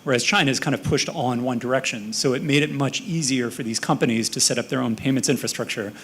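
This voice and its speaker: A man's voice: mid-range voice, quick pace, fairly bright tone, a little hiss or hum. An American man speaking as a panelist in a panel discussion, thoughtful and conversational, often correcting himself mid-sentence. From United States.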